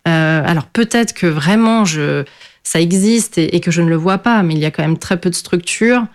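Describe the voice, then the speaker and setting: A woman's voice: fairly low pitch, normal speed. A middle-aged woman from France whose first language is Portuguese, answering interview questions in a hesitant way with many fillers and restarts. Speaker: guest.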